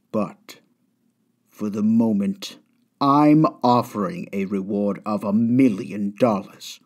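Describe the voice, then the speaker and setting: A man's voice: fairly low pitch, heavily acted. A man playing a haughty, wealthy aristocratic villain in a comic dub, speaking with formal, pompous precision.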